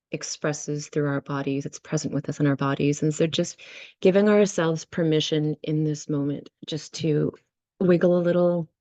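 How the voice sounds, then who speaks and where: A woman leading a guided visualization and meditation, speaking gently and unhurriedly in short phrases with many pauses. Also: low-pitched, no room sound. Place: online video session.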